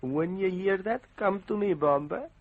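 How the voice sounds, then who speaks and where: Radio drama henchman: high-pitched, foreign, deferential, coaxing his trained beast in stilted phrases. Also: moderate pace, narrow, tinny audio. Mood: coaxing, eager. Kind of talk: old-time radio drama acting.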